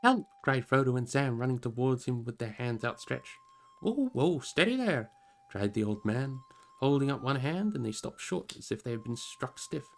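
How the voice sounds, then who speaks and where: A man narrating a fantasy story in an expressive audiobook style. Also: mid-range voice, moderate pace, gravelly. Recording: music behind the voice.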